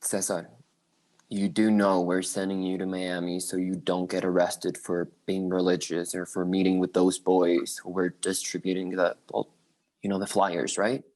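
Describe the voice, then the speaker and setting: A man's voice: low voice, little pitch movement, normal speed, a little mellow, lightly acted, rough recording. A man reading the part of a Cuban judge and father sending his son away, earnest and fatherly, in a play table read. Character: stern but tender Cuban father, a judge.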